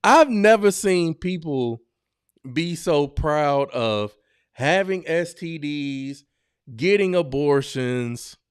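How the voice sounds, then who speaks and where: Slow, smooth-voiced male podcast host. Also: fairly high pitch, lively intonation, no echo, clean studio sound. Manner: slangy, blunt and joking, with frequent exclamations. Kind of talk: casual reaction commentary.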